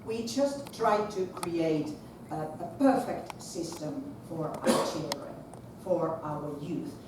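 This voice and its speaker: A middle-aged woman with a Finnish accent, a school principal giving a talk in a large lecture hall without a microphone, projecting her voice in a lively, chatty way. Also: low voice, takes it slowly. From Finland. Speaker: conference speaker, school principal. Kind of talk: presentation to an audience. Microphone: far camera. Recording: echoey lecture hall from far off, noisy.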